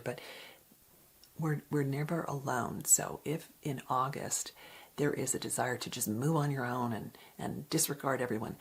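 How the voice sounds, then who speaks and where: A woman giving an ASMR card reading in a soft, breathy whisper, with a gentle, reflective, unhurried manner. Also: very deep voice. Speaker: card reader.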